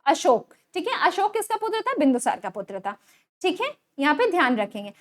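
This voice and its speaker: A woman with an Indian accent and a very high, swooping voice gives an online exam lesson. She reads out questions and choices in an instructional way, then repeats the key answer and checks in often. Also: very animated, brisk. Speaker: online exam-prep teacher. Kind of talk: quiz lesson, reading questions and options then giving answers.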